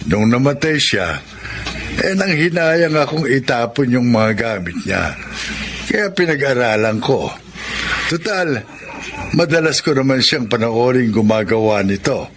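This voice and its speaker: A gentle, lonely old widower in a Filipino radio drama. He speaks slowly and haltingly in a medium-pitched voice, with patient kindness and some background noise. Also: big pitch swings. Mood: kindly, patient. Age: about 70. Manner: kindly, unhurried, halting. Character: gentle lonely widowed grandfather who crochets for his children. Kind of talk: Tagalog radio drama acting.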